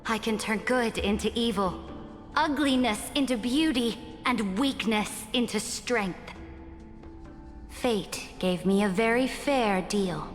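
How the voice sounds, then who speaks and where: Slow, regal woman emperor played by an actress. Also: medium pitch, long reverb tail, lightly acted. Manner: regal, deliberate, commanding. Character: imperious, ambitious female emperor, proud and calculating. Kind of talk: scripted video game voice acting.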